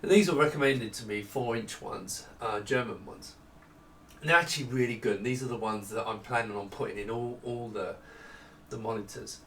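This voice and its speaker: A middle-aged man talks through his product in an informal, halting way, as if thinking aloud. His mid-pitched voice is picked up from some distance in a reverberant small room, with faint noise behind it. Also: a bit bright. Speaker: product designer presenting his monitors. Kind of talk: casual unscripted product walkthrough. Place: small home office indoors with studio lighting.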